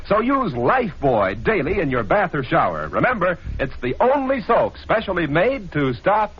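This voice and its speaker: A man's voice: fairly high pitch, sing-song, moderate pace, husky. A man giving a scripted 1940s radio commercial read on a muffled old recording.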